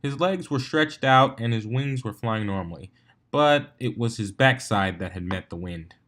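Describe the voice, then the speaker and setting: A man's voice: mid-range voice, expressive, normal speed, a bit bright. A man reading a fan fiction story aloud as narrator.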